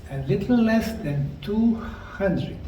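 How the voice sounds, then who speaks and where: A middle-aged man slowly narrating a documentary on location at ancient ruins, explaining the sites like a tour guide. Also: high voice, lively intonation, dark tone, slight background noise. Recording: roomy, distant mic with faint outdoor ambience.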